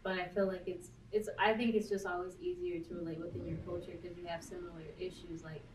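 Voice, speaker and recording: A woman's voice: fairly low pitch, level tone, fast talker. A young American woman talks thoughtfully in a casual way, full of "um"s and "like"s. She sits away from the mic in a roomy space with a faint hiss behind her. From United States. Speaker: guest. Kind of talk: casual conversation. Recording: roomy, distant with faint noise.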